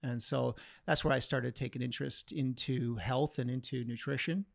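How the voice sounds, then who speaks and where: A man's voice: mid-range voice, steady tone, normal speed, vocal fry, no room sound, studio quality. A Canadian man in his forties, a physiotherapist, telling his story steadily and plainly as a podcast guest. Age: 40s. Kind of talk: interview storytelling.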